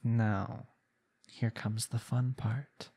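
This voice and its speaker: Man, ASMR villain roleplay, smug and soothing, very slow hypnotic delivery, gravelly creak. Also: medium pitch, fairly even intonation, warm tone. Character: smug, coaxing young male villain hypnotist.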